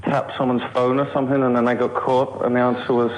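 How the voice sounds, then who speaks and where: A man's voice: medium pitch, level tone, fast talker, lo-fi sound. A young man phoning a radio show, chatting casually in a hesitant, rambling way. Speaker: radio phone-in caller. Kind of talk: casual chat.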